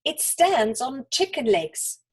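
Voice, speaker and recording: A woman narrates a children's story in a fairly high, slightly breathy, husky voice. Her pitch swoops widely, and she leans on words with dramatic pauses and emphasis. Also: sing-song.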